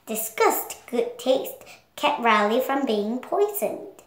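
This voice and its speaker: A seven-year-old girl reading a storybook aloud in a careful, halting way. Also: a little high, sing-song, reverberant. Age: child, 7 years old. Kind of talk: read-along storybook narration.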